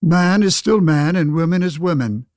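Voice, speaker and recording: An actor playing a pompous, old-fashioned senator of 1911, speechifying against suffrage in a radio play. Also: male voice, fairly high pitch, moderate pace, a little mellow, dry recording, clean studio sound, close to an everyday voice. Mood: condescending. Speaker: debate guest. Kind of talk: pseudo-radio play, oratorical debate.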